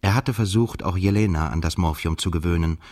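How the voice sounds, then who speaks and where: A male narrator for a radio play with a low voice. He tells the literary story soberly and in measured phrases. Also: a little mellow.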